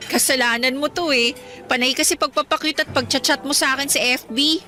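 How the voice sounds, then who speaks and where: A woman's voice: high-pitched, fairly even intonation, brisk, bright, forceful tone, soft background music. A woman playing a playful, caring young Filipina girlfriend in a radio drama. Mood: playful, insistent.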